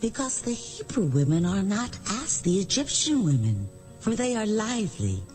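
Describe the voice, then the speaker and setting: A woman's voice: mid-range voice, big pitch swings, normal speed, darkish tone, faint music behind, close to an everyday voice. A woman playing a Hebrew midwife in a dramatized Bible, speaking formal archaic scripture. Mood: explaining. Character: Hebrew midwife answering the king.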